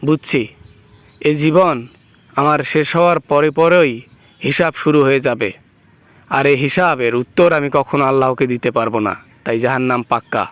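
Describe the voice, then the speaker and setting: A man's voice: mid-range voice, normal speed, pauses often, fairly bright tone, clean tone, no echo, natural voice. A man playing a frightened, guilty prisoner in an amateur comic phone skit, stammering and pleading with exaggerated complaints. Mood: regretful. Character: frightened male prisoner, guilty, whining, woken by dream caller.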